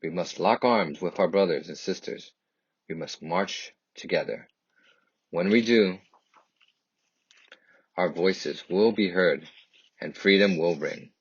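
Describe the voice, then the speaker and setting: A man reads a children's picture book aloud in a husky voice, with expressive, emphatic and dramatic phrasing. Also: deep voice, takes it slowly, long pauses. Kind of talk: expressive read-aloud storytelling. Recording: dull, lo-fi, echoey room.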